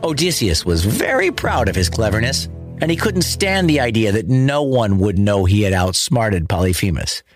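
A man's voice: lowish voice, sing-song, moderate pace, faint music behind. A man narrating a scripted myth for children in a dramatic, playful manner. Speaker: storyteller, host.

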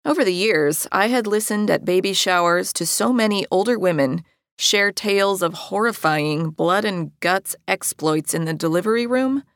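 A middle-aged American woman reading her own personal memoir as an audiobook in a measured, articulate way. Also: mid-range voice, expressive, dry recording, clean studio sound. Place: dry recording studio.

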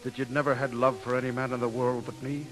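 A man's voice: mid-range voice, flat delivery, quick pace, a bit bright, lightly acted. Actor as an intense, lovesick Irishman. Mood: torn, longing. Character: devout, lovesick, wary Irish man, intense. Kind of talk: 1930s radio drama acting. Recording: dated 1930s radio recording, faint music.

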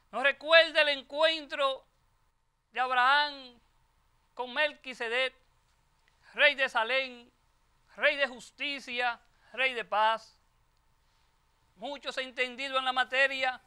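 High bright older male priest preaching. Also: clear, resonant tone. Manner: measured, emphatic preaching with repeated phrases. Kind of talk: Gospel reading and homily at Mass. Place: chapel sanctuary at a lectern. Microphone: lectern microphone.

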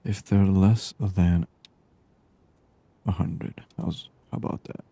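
Very dark, hushed low male ASMR voice. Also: steady tone, slow. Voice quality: soft, hushed, breathy voice. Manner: murmured thinking aloud in short phrases. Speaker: gaming streamer. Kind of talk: soft-spoken ASMR game commentary. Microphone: close binaural microphone.

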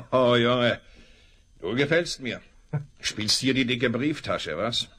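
An actor playing a rough, hungover male drunkard in a Western radio play, gruff, chummy and laughing. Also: mid-range voice, slow pace, a bit bright. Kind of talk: 1970s German radio play acting.

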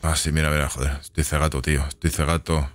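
Deep-voiced gamer, slangy. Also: male voice, flat delivery, quick pace, a little hiss or hum. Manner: slangy, mumbling asides, swears. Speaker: gamer playing through a game. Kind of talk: casual let's-play commentary. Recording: game music behind.

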